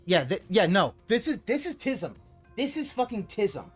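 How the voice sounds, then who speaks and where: A streamer talking over a video they are reacting to, their voice sitting at an ordinary pitch. They rant and swear freely and at times burst into loud yelling. Faint music plays under the talk. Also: big pitch swings, moderate pace, husky. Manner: ranting, profane, swings into loud yelling. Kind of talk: live reaction commentary.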